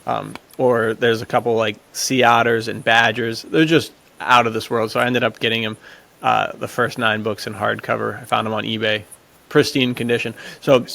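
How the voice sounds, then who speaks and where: A man's voice: medium pitch, creaky, fairly bright tone. A man chatting casually as a podcast panelist, talking in an enthusiastic, rambling way. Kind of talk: casual chat.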